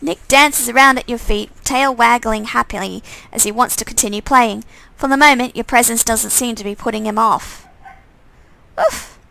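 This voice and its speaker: A woman reading a visual novel aloud in a halting way, often stumbling and correcting herself as she narrates. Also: a little high, creaky, sharp tone. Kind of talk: live read-aloud narration with character dialogue.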